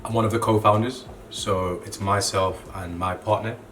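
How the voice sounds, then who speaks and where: A young man who grew up in Zambia, a clothing brand co-founder, talking casually and relaxed, with lots of "you know" fillers. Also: on the low side, normal speed. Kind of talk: casual interview monologue. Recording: distant mic with clear background noise.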